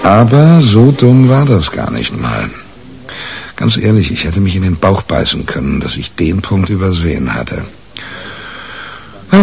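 A wry, deal-making newspaper reporter in a crime radio play. His voice is very deep and rough around the edges. He talks colloquially, with a sardonic, wary edge. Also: heavily acted. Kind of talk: 1980s German radio crime drama, dialogue and first-person narration.

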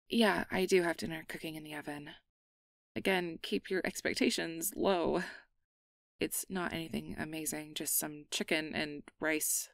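A woman's voice: sits low, gravelly, no room sound, clean studio sound. A woman playing an awkward, guarded but secretly tender young changeling in an ASMR roleplay, hesitant and stammering as she downplays things. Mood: bashful.

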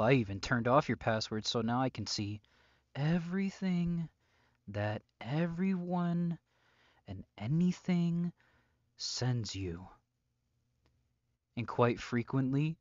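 Male actor as controlling, menacing young man for audio roleplay; unhurried, whisper-prone, mocking; close, dull-sounding recording. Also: mid-range voice, no echo, natural voice. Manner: slow, deliberate, often dropping into breathy whispers. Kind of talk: ASMR-style audio roleplay drama.

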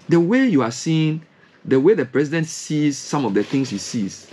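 Animated Ghanaian man on air, husky. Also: a little high. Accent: Ghanaian English. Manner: animated, rhetorical questions, gesturing hands. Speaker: radio host. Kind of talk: impassioned on-air commentary. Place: radio studio. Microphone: studio mic with headphones.

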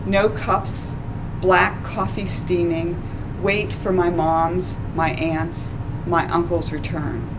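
A woman in her sixties reading her own essay aloud at a live event, slow and measured. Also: fairly low pitch, flat delivery, background noise. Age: 60s. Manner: slow, deliberate, measured delivery. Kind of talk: literary reading. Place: small stage in a dark club.

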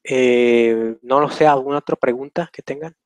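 A man's voice: medium pitch, normal speed, clear, resonant tone, dry recording. A young man hosting a casual question-and-answer session over a video call from a small room, rambling and hesitant with many fillers. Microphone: webcam on a video call.

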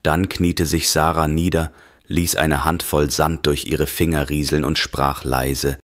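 A man narrating a scripted horror story in a grave, measured manner. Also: extremely low pitch, level tone, dry recording, clean studio sound. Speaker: audiobook narrator.

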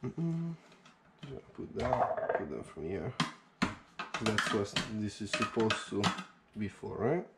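A man's voice: on the low side, very animated, slow pace, long pauses. Chatty man filming on a head camera. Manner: chatty, upbeat, thinking aloud with fillers. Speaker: home cook vlogger. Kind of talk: casual cooking commentary. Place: home kitchen, indoors. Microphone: head-mounted action camera. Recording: action camera audio with clear background noise.

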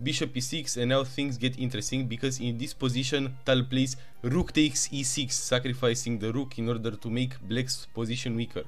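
Romanian guy rattling off chess moves, gets hyped at the sacrifices, soft music under. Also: medium pitch. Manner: rapid move-by-move calling with excited asides. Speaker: chess video narrator. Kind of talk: chess game commentary.

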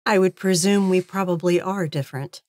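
A woman's voice: fairly low pitch, a little fast, darkish tone, no room sound, clean studio sound. A woman playing in a tabletop game, chatting casually and laughing often. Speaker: player.

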